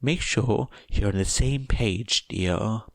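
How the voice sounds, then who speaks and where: A man's voice: lowish voice, takes it slowly, no room sound. A man voicing a middle-aged mother who is polite, fussing and sweetly menacing, in an audiobook-style story reading. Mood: motherly, cheerful.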